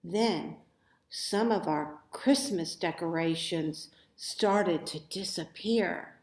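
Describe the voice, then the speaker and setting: A woman reading a children's story aloud with expressive, deliberate phrasing in a roomy, echoey recording. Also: lowish voice. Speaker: storybook reader.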